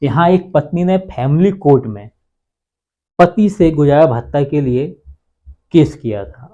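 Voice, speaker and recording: Male explainer with a fairly high, mellow voice and dull lo-fi sound, repeats his points and answers his own questions. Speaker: legal explainer on a channel. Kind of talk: informal explainer lesson to viewers.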